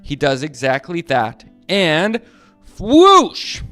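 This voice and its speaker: A man reading a superhero picture book aloud to children, animated and making sound effects. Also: a little high, drawn-out words, faint music behind. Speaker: storyteller reading to kids.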